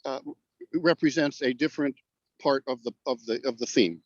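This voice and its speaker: An older man, a guest poet explaining in a halting, deliberate way over a remote video call from outdoors. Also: medium pitch, dry recording. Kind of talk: explanatory interview talk. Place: outdoors on grass in a parking lot. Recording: dull, lo-fi call audio.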